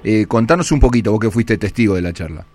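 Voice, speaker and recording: Lively Argentine male radio host. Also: on the low side, quick pace, no echo. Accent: Argentine Spanish. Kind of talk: radio interview.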